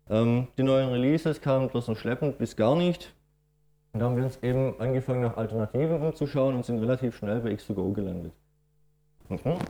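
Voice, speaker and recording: A man's voice: medium pitch, warm tone. Swabian man giving a tech talk. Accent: Swabian German. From Swabia, Germany. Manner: explanatory, matter-of-fact, with frequent "ähm" fillers. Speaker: conference speaker. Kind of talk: technical conference presentation.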